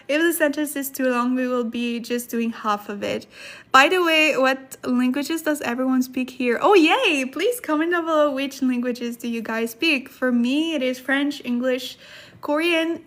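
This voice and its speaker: A woman's voice: high voice, measured pace, fairly bright tone. A woman hosting a casual language study livestream, chatting with viewers in a giggly way and repeating herself for emphasis. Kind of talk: casual livestream chat and lesson, reading viewer comments.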